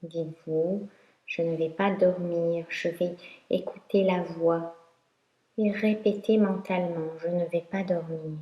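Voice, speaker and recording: A woman teaching yoga nidra, guiding a meditation in a calm, instructional way with long pauses. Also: on the low side, muffled recording. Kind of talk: guided meditation narration. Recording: roomy echo.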